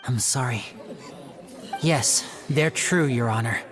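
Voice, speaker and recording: A woman playing a polite, earnest young male magician in a video game, with a soft-spoken manner. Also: a bass voice, slow, over music. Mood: tense, resigned.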